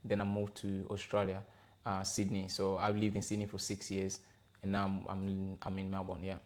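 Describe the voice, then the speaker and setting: A man's voice: sits low, monotone, normal speed, darkish tone. A man in his thirties from the Democratic Republic of Congo, answering interview questions in a hesitant way, with many fillers and restarts. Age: 30s. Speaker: podcast guest, gospel rapper. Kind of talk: casual interview conversation.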